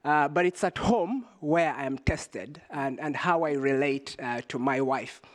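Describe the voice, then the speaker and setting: A man from Uganda, speaking as a panelist in a reflective, measured way with frequent hesitations. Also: a little high. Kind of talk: panel discussion.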